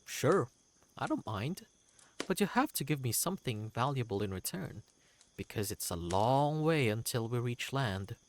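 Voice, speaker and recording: A man's voice: mid-range voice, big pitch swings, a little slow, background noise. A man voicing an eccentric, proud wanderer creature telling tales in a fantasy game, with a theatrical, whimsical and courteous manner. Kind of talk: character voice in a fantasy game reading.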